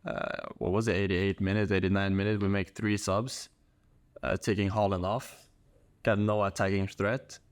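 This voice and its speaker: A young man speaks in a low voice with a dry, close sound. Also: flat delivery, normal speed, smooth, no echo. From Norway. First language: Norwegian. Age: young adult. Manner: informal, slangy. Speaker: podcast guest, college soccer player. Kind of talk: casual interview chat.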